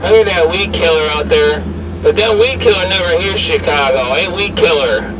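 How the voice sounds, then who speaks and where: Guy on cb radio in his car, repeats handles and slang, lots of noise, voice a little high. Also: normal speed, recorded from a distance. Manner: repetitive, slangy radio patter. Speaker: CB radio operator. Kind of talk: casual CB radio chat with handles and call-outs. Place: inside a parked or moving SUV. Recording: noisy car interior, away from mic.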